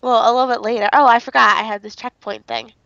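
Young woman playing games w a friend, really high voice w lots of fry, playful, kinda muffled mic. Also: steady tone, fast, fairly bright tone, no echo. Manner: playful, informal. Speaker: gaming companion. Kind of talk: casual gaming chat.

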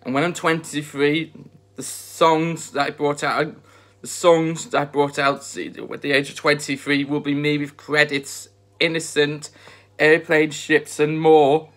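Slow, earnest young man with a flat voice. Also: a little high. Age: 20s. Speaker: vlogger. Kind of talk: casual eating vlog chat. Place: bedroom at home, indoors.

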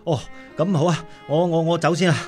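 A man's voice: a little high, fairly even intonation, a little fast, darkish tone, faint music behind, lightly acted. A man acting as a hardworking, devoted father in a radio drama, apologetic and hesitant, with a Hong Kong Cantonese accent. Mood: faint, flustered.

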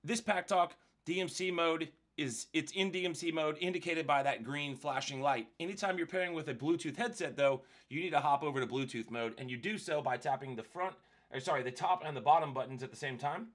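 A man's voice: on the high side, brisk, fairly bright tone. A man presenting a casual step-by-step product demonstration in a conversational, explanatory way.

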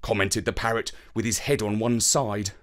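A man's voice: fairly low pitch, fairly even intonation, moderate pace. A man narrating a children's story in the first person, as a scripted storyteller.

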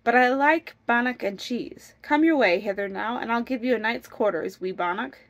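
Storybook miller, read by a woman: cheerful, coaxing, fairly high voice. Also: moderate pace, close to an everyday voice. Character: cheerful coaxing miller man in a Scottish fairy tale.

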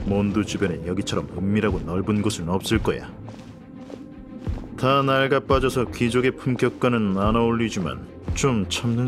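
A man's voice: fairly low pitch, lightly acted. A male actor playing a haughty, condescending nobleman in a video game dub, speaking in a pompous, formal aristocratic way. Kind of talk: dubbed video game dialogue.

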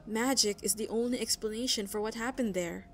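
A woman's voice: fairly high pitch, a little fast, a bit bright, a little hiss or hum. A woman playing a shaken, adamant sailor who survived a voyage, in a fantasy audio drama. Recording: faint tavern ambience behind.

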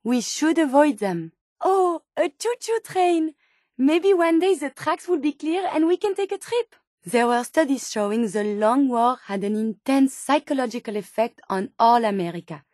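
Female robot scientist, high voice, dry close sound, curious, polite, formal, video game companion. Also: lively intonation, moderate pace, a little mellow, no room sound. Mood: delighted, curious. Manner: formal, precise wording with wide-eyed wonder. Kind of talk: scripted game companion dialogue.

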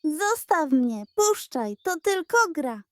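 A woman voicing a small, excitable child frog with a squeaky way of talking in a children's story. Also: takes it slowly, no room sound, heavily acted. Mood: frightened. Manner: squeaky, crying out.

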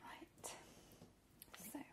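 A woman playing a playful, ghoulish vampire in a whispered ASMR roleplay, breathy and slow, with soothing relish. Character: playful, ghoulish immortal female vampire baking gruesome treats. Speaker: ASMR host in character. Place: home kitchen, indoors. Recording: noticeable background hiss, soft clicks.

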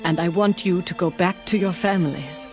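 An actress playing a selfless, sorrowful older widow in an animated Bible film, solemn and pleading. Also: female voice, fairly low pitch, moderate pace, lightly acted. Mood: resolute, sad. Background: music.

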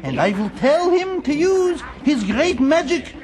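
Squeaky excitable doctor hero, super high, swoops around, kids tape. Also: male voice, measured pace, heavily acted. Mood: defiant. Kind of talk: children's audio adventure acting.

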